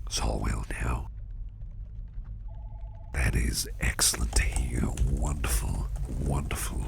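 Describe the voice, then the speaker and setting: Man acting as a very deep, gravelly, kindly boss. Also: a little hiss or hum, full character voice. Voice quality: very deep, gravelly, breathy half-whisper. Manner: gentle, doting, unhurried, repeats reassurances. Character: kindly, reassuring businessman boss looking after a valued employee. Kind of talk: soft-spoken ASMR roleplay.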